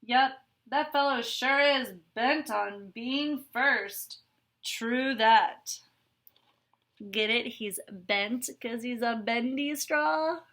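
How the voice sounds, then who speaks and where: A woman's voice: on the high side, very slow, fairly bright tone. A woman reading a picture book aloud to children, adding chatty, explanatory asides as a teacher would.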